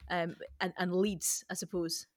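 A woman's voice: fairly low pitch, little pitch movement, a little slow, dry recording. A woman hosting a conversational podcast discussion. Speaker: podcast host.

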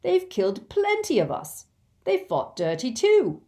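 A woman's voice: mid-range voice, big pitch swings, measured pace, a little mellow. A woman voicing a blunt, argumentative teenage boy with a pushy, colloquial manner in a storybook reading. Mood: scornful.

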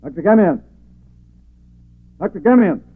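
An actor in a 1940s radio drama playing a brusque, impatient police commissioner who talks loudly and abruptly. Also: male voice, high voice, animated, measured pace, breathy edge, dry recording, full character voice. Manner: loud, abrupt, exclaiming. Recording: tinny, narrow 1940s radio recording.

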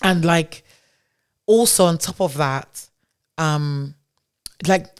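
A woman's voice: low-pitched, takes it slowly, clean tone. Nigerian-raised woman telling her story. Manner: chatty, many fillers and restarts. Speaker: podcast guest, tech founder. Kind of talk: casual interview storytelling.

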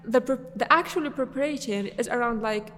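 A woman's voice: on the high side, normal speed, a bit bright, long reverb tail. A young woman from Syria, a podcast guest, answering casually with many fillers and restarts.

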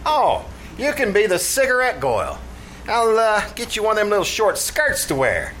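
A man playing a scheming tavern manager in a live radio comedy. His voice is high, bright and very animated, with a working-class, word-mangling patter. Clear noise sits behind it. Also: normal speed, exaggerated voice, rough recording. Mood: flustered. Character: scheming, malaprop-prone New York tavern manager. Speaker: actor in a stage troupe. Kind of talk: live old-time radio comedy acting. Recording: live theater recording with background noise.

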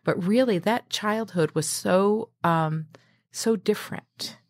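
A woman's voice: mid-range voice, slow pace, dry recording, studio quality. A middle-aged woman who grew up in Mexico, talking casually as an interview guest, hesitant with many fillers and restarts.

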